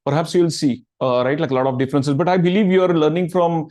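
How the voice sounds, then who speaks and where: Middle-aged man, slightly high voice, dry close sound, chatty Q&A speaker who leans on "right, like". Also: steady tone, fast, darkish tone, no room sound. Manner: filler-heavy, repeats "right, like". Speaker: guest speaker answering questions. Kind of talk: casual Q&A talk.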